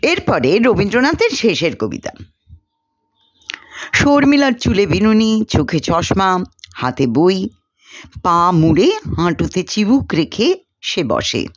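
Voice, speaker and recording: A woman narrating a story in an expressive, dramatized storytelling style. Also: mid-range voice, slow pace, long pauses. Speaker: story reader.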